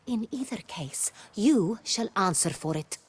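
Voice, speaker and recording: An actress playing an imperious, exacting ruler of noble birth in a video game, speaking in a commanding, haughty and formal manner. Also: female voice, medium pitch, lively intonation, a little slow, breathy edge, no echo. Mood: scornful, accusing.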